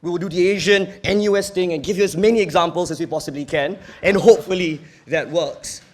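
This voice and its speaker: A man's voice: sits high, steady tone, fast talker. A young man with a Singaporean accent giving a competitive debate speech in an earnest, emphatic, argumentative manner. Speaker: university debater.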